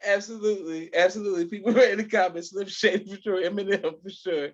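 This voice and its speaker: A man's voice: high voice, steady tone, quick pace. A man hosting a casual live interview, effusive and affirming, often repeating his words. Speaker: podcast host. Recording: dull, lo-fi online call audio.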